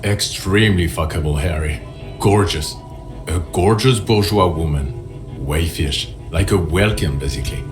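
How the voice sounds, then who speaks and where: An actor playing a jaded, foul-mouthed police officer with a blunt, dry, sardonic delivery in a video game, over music. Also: male voice, sits low, moderate pace, natural voice. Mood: crude, dry.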